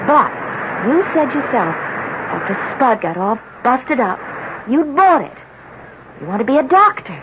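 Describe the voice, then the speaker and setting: Radio drama: a spoiled, confident young sweetheart, high and very animated, breezily coaxing. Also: female voice, unhurried, pauses often, full character voice. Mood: coaxing, practical. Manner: breezy, coaxing, self-assured. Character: rich, confident, teasing young fiancée. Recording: muffled, noisy 1970s radio recording.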